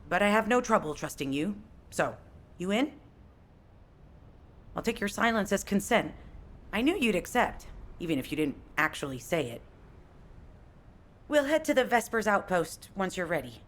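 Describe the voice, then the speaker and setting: An actress playing a direct, businesslike field agent in a video game, conversational and matter-of-fact. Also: female voice, mid-range voice, natural voice. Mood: assured.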